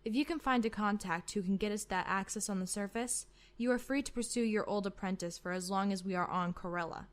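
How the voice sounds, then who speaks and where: Calculating female admiral acted by a woman, mid-pitched, formal, faintly condescending, for a sci-fi roleplay. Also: brisk, hardly any pauses, lightly acted. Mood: cool, calculating. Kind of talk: fan-made sci-fi roleplay drama.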